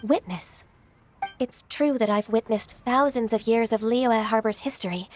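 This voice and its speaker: A woman playing a gentle, dutiful, ageless secretary, polite and formal, in an anime video game. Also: fairly high pitch, measured pace, a little hiss or hum, natural voice. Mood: moved, wistful.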